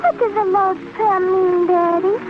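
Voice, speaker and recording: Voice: very high-pitched, fairly even intonation, unhurried. A performer playing a curious, polite, sweet little girl at bedtime in an old radio drama. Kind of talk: 1940s-50s radio acting. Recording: muffled, noisy old radio recording.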